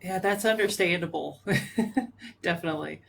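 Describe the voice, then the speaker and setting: A woman's voice: on the low side, moderate pace, vocal fry, a bit bright, slight background noise. A woman hosting a paranormal live stream, reacting to a caller and asking follow-up questions. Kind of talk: casual live-stream chat.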